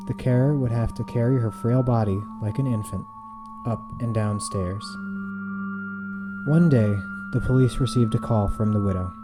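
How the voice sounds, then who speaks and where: A man reading a scripted horror story in a measured, suspenseful way, with dramatic pauses. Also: mid-range voice. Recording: music behind the voice. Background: eerie background music.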